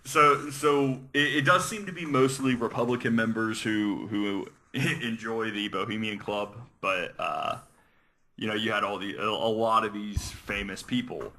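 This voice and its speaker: Male podcast host. Sharp, very bright voice on the low side, casual and conversational, feeling his way through a story. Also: unhurried. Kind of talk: casual conspiracy-theory podcast chat.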